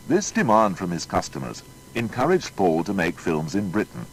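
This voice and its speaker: A man narrating a scripted biography in a formal, measured storytelling manner. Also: low-pitched, a little hiss or hum, rough recording.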